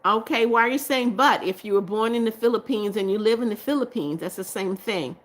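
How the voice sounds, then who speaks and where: A woman's voice: mid-range voice, fairly quick. Brief: middle-aged woman host, chatty livestream, reads and answers viewer comments. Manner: reads comments aloud and answers viewers warmly, laughs often. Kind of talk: casual livestream chat reading viewer comments.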